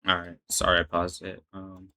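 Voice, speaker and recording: A man's voice: sits low, monotone, normal speed, crowd noise. A man hosting a reaction video, talking casually in a hesitant, stammering, uneasy way.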